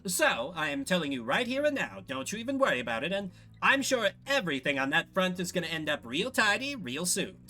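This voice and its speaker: Man voicing a shifty, chummy little scamp, pitched up. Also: big pitch swings, fairly quick, hardly any pauses, bright, forceful tone, faint music behind, full character voice. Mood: cocky reassurance. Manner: chummy, wheedling, salesman-like patter. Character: Ruki, shifty fast-talking male scamp, cocky and evasive. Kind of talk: video game character voice.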